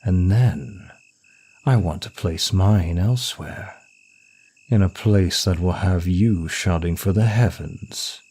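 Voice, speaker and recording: A man playing a suave, smug male demon of lust in an ASMR roleplay, with a teasing, deliberate, seductive delivery. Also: low-pitched, darkish tone, husky, full character voice. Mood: commanding, lustful.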